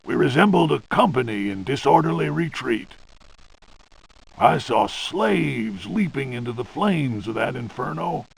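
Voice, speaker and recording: Battle diarist, raspy, slow, higher-pitched, dramatic game narration. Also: male voice, sing-song, exaggerated voice, poor audio. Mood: grave, haunted.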